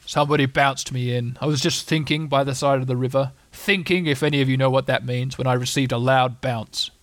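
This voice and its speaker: A man voicing a gloomy, aggrieved storybook donkey with a slow, deadpan, sarcastic way of complaining. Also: mid-range voice, lightly acted. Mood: aggrieved, indignant.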